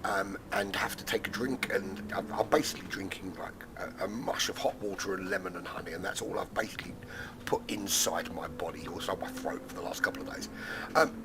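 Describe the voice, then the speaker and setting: A man commentating a sim-racing league stream, hoarse and squeaky after losing his voice, chatty with lots of fillers. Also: fairly quick, very noisy. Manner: chatty, many fillers, bursts of excitement. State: hoarse, recovering from lost voice.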